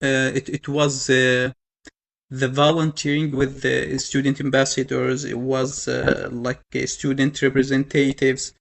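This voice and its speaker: Halting young man, slow with gravelly fry. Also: medium pitch, completely flat delivery. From Yemen. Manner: halting, many fillers and restarts. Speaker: panel speaker. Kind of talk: personal story told to an audience.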